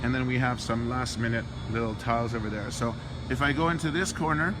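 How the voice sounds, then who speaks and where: A man's voice: medium pitch, brisk, background noise. A tired man who is losing his voice, rambling offhand through a handheld walkthrough of a big convention build. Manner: rambling, offhand, trails off mid-sentence. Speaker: diorama workshop host. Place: convention hall floor. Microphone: handheld phone camera.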